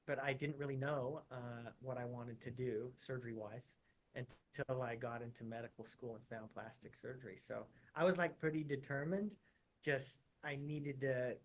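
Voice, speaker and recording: A man's voice: medium pitch, rough recording. Easygoing male surgeon, chatty interview guest. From Utah, USA. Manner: relaxed, chatty, uses "like" often. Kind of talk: casual interview answers.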